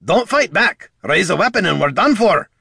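A man performs an embattled dwarf warrior with a brogue, pitched very high and swinging widely. The delivery is loud, urgent and pleading, shouted as in a fantasy audiobook. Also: expressive, normal speed, creaky, husky, dry recording, clean studio sound, heavily acted. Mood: urgent alarm. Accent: dwarven brogue. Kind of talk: audiobook character voice.

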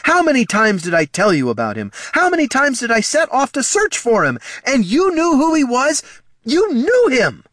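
A man voicing an anguished, furious female elf ranger with a fraught, halting manner in a fantasy audiobook. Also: extremely high pitch, wildly swooping pitch, fairly bright tone, no room sound, clean studio sound, voice pushed up, heavily acted. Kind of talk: fantasy audiobook character dialogue.

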